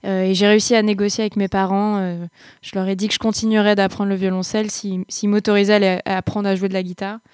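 A young woman, a singer-songwriter, answering interview questions in a relaxed, halting way, with many fillers and restarts. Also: mid-range voice, smooth, no room sound, clean studio sound.

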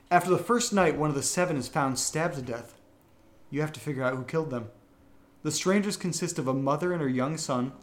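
A man with a fairly high voice talks as a podcast co-host. His delivery is conversational, whether bantering or reading out questions, and the room sounds noticeably echoey. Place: indoor room, live-streamed session. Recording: roomy, echoing room sound.

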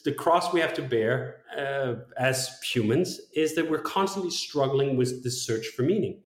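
A man's voice: medium pitch, animated, a little slow, echoey room. A man from Denmark speaks reflectively and emphatically, thinking aloud in a long-form conversation.

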